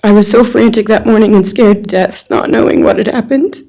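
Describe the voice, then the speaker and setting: Young woman, mid-pitched with noticeable vocal fry. Reads a written personal statement to a courtroom. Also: fairly even intonation, brisk, dark tone. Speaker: friend reading a victim's statement on their behalf.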